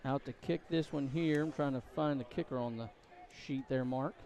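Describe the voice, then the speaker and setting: A man doing excitable live play-by-play commentary of a high school football game, outdoors at the stadium. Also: moderate pace, dull audio. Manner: excitable, voice climbs to shouts on big plays. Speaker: play-by-play sports announcer.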